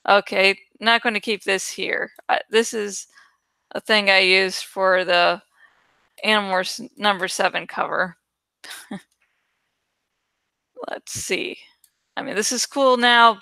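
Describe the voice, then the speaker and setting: Bright-voiced, casually rambling woman. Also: mid-range voice, gravelly, clear, resonant tone, dry recording. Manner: self-deprecating, swears freely, thinks out loud. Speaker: video creator and host. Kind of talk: casual rambling commentary while editing artwork.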